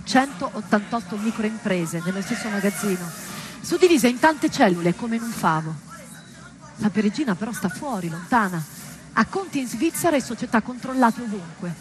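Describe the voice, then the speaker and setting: A woman performing a scripted theatrical monologue, storytelling with deliberate pauses and emphatic, dramatic delivery. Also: medium pitch, animated. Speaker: stage performer.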